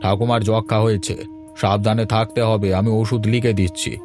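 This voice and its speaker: A man performs a village doctor in a cartoon fable. He gives instructions in a kindly, matter-of-fact way with a lowish voice. Loud music runs behind him. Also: flat delivery, a little fast, lightly acted. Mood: calm, advising. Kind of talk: Bengali moral-story cartoon dubbing.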